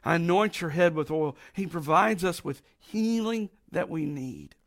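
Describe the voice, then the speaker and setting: A middle-aged man with a raspy voice from allergies, preaching a folksy, emphatic sermon with slow drawn-out stresses. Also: on the high side, animated. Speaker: pastor.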